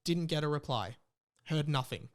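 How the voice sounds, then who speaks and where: A man's voice: fairly high pitch, lively intonation, moderate pace. A man with an Australian accent hosting a podcast in casual, informal chat with a mock-aggrieved way of talking. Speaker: podcast host.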